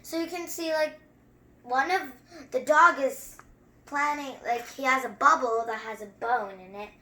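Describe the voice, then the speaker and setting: A female story-time host reading aloud and adding casual, chatty commentary in a halting way. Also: sits high, sharp tone. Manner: halting word-by-word reading, informal chatty asides. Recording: roomy echo.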